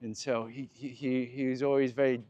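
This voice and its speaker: A man in his fifties hosting a walking conversation outdoors, thoughtful and quick to laugh. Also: mid-range voice, little pitch movement, warm tone, no echo, muffled recording. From United States. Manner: thoughtful, laughs easily, restarts phrases. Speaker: host. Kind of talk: casual conversation while walking. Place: outdoors on a walk.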